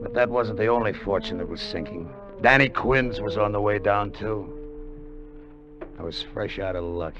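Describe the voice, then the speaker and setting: A man's voice: fairly low pitch, moderate pace, close to an everyday voice. A man plays a crooked American treasure hunter in an old radio adventure. He is a tough, plainspoken sailor, desperate and greedy. The recording is muffled, with prominent music behind him. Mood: bitter, resigned. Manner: tough, plainspoken first-person storytelling. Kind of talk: 1950 radio drama acting.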